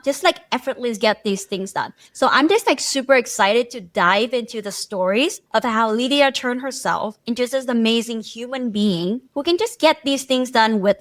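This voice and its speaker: A woman's voice: a little high, fairly bright tone, dry recording, studio quality. A woman hosting a podcast interview, upbeat and gushing with praise for her guest. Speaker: podcast host.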